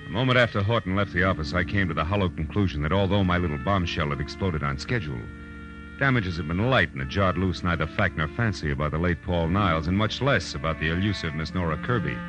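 An American actor playing a wry, tough private detective in a 1940s radio drama, clipped and sardonic. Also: male voice, low-pitched, lively intonation, fast talker, over music. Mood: tense narration. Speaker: lead actor and narrator of a detective series. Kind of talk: 1940s hard-boiled radio acting.

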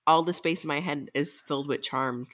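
A woman's voice: sits low, normal speed, fairly bright tone. A woman co-hosting a podcast, speaking in casual conversation. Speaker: podcast co-host. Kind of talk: casual chat.

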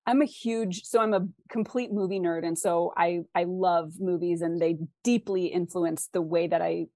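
A woman's voice: fairly low pitch, steady tone, normal speed, mellow, no echo, studio quality. A woman speaking conversationally as an interview guest, explaining writing craft articulately with examples. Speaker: interview guest, fiction author.

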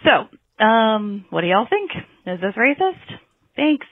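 A woman from the US Northwest telling a story in a voicemail, hesitant with many pauses and fillers. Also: on the high side, very animated, dry recording. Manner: hesitant, many ums and you-knows. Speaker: voicemail caller. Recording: tinny phone-line voicemail.

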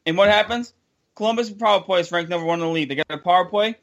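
A man's voice: sits high, racing, fairly bright tone. A young man hosting a casual sports chat, frustrated and incredulous, repeating himself as he complains. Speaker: podcast host.

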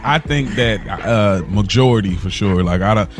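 A man's voice: on the low side, moderate pace, faint music behind. A man co-hosting a podcast, chatting casually and conversationally. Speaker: podcast co-host. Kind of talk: casual chat.

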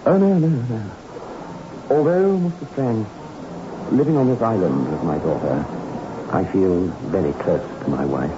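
A man's voice: on the low side, measured pace, pauses often, natural voice. An actor playing a middle-aged father who guards his troubled daughter, earnest and formal, in 1960s radio drama style. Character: grieving, pleading father guarding his deranged daughter on an island. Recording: muffled, noisy old 1960s radio recording.

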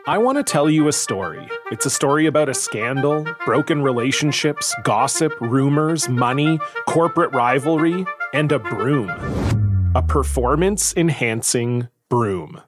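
A man's voice: medium pitch, a little slow. A man who hosts a podcast, narrating a scripted, dramatic storytelling trailer. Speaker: podcast host, comedian. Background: music.

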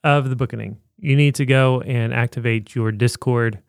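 A man's voice: steady tone, normal speed, gravelly, no echo. Upbeat male podcast co-host, dry sound. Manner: upbeat promotional pitch. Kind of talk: casual podcast chat.

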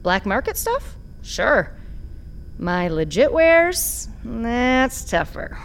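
Slow, swooping, sardonic woman fixer. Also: on the high side, a little hiss or hum, full character voice. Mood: businesslike, teasing. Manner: sardonic, unhurried, expressive. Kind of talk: audio fiction acting, noir sci-fi.

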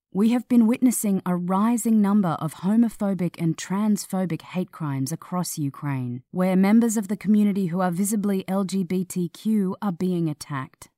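A woman narrating a scripted news article in a measured, serious manner. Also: mid-range voice, dark tone, dry recording, clean studio sound.

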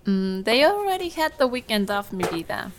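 A woman playing a hospitable, gently teasing mother and grandmother in a naturalistic audio drama. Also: medium pitch, big pitch swings, moderate pace. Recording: noisy dinner-table scene, clinking dishes. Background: clinking cutlery and dishes.

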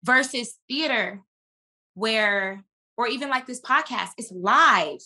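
A woman with a bright, forceful voice speaks as a guest in a casual podcast chat. She is an actress who tells things in a slangy, emphatic way, with plenty of animation. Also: fairly high pitch, lively intonation, slow. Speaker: guest, stage and screen actress.

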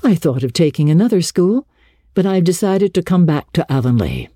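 A woman voicing a kindly, encouraging schoolteacher in a dramatic storybook reading, speaking in a measured way. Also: lowish voice, lively intonation, mellow, dry recording. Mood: fond, resolved.

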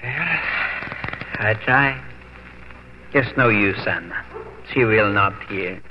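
A man's voice: on the low side, slow. A man acting a gloomy, defeated immigrant farmer with a Scandinavian accent in 1940s radio drama, complaining emphatically in broken English. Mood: bitter, defeated. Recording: muffled, noisy old radio broadcast.

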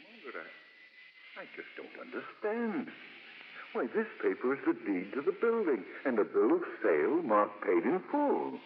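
An actor playing a solemn, kindly bishop in 1950s radio drama style. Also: male voice, medium pitch, huge pitch swings, moderate pace. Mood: perplexed. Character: solemn, kindly bishop, perplexed then benevolent. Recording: very noisy, narrow-band 1950s radio broadcast.

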